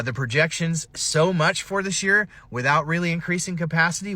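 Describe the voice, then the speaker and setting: A man's voice: fairly high pitch, bright, forceful tone, no room sound. A man hosting a podcast, giving an emphatic, informal news recap straight to viewers. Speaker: podcast host.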